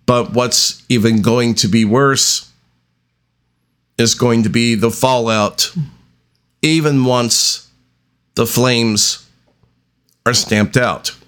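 A man delivers an unscripted solo podcast monologue at a very slow pace. His pitch sits in an ordinary middle range for a man. He speaks deliberately, breaking sentences into short pieces with long pauses. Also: steady tone, a bit bright. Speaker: podcast host.

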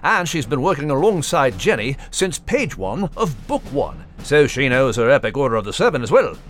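A man voicing a courteous, inquisitive little gentleman mouse with a British accent and a fussy, proper manner. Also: medium pitch, sing-song, normal speed, faint music behind. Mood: informative.

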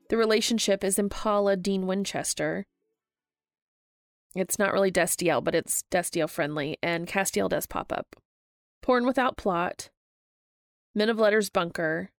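A woman's voice: mid-range voice, gravelly, no room sound. A woman reading scripted fiction as a podcast host and narrator.

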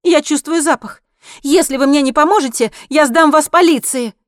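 A headstrong, desperate woman in an audiobook, acted by a woman narrator. Her voice is raised high, insistent and urgent, firmly demanding. It is recorded dry and close. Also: very fast, dry recording, close to an everyday voice. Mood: firm, threatening.

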